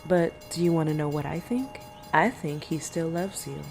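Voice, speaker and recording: A woman acting a composed, secretive middle-aged mother and monster hunter in an audio drama, speaking in a measured, gentle way. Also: sits low, animated, faint music behind.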